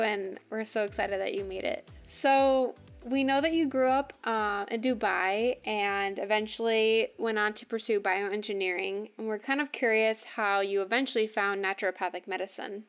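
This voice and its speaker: A woman's voice: medium pitch, fairly even intonation, normal speed, few pauses, a bit bright. A woman hosting a podcast, introducing guests and putting questions to them. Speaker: podcast host. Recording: music behind the voice.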